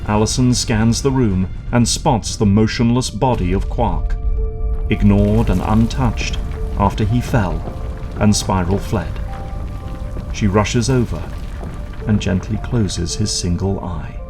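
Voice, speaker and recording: A man delivers scripted dramatic narration as the narrator of an audio drama. His voice sits on the low side, with music clearly playing behind it. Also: takes it slowly.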